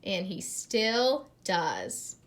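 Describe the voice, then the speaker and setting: A woman reading a picture book aloud in an expressive, teacherly way, pausing to address young listeners with questions. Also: medium pitch, slight background noise. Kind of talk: expressive children's read-aloud with asides and questions.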